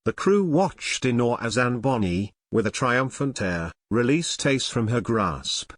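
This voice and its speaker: A man's voice: mid-range voice, animated, a little slow, no room sound, studio quality. A man narrating an audio drama in a scripted, dramatic storytelling style.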